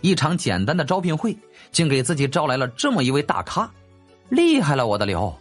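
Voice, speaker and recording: A man reading a novel as scripted audiobook narration in an animated, dramatic way. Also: on the high side, a little fast, faint music behind.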